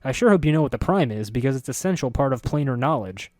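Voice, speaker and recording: A man reading a story voices a curious, sharp-spoken middle-aged woman who talks in a quick, insistent way. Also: mid-range voice, expressive, dry recording, clean studio sound, lightly acted. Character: curious sharp-spoken woman, neither young nor old, newcomer.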